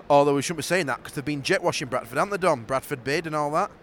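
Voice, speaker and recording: A Yorkshire man co-hosting a podcast, chatting casually and excitably while watching football. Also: fairly high pitch, a little fast, slight background noise. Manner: excitable, laughing, reacting to the match. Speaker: podcast co-host.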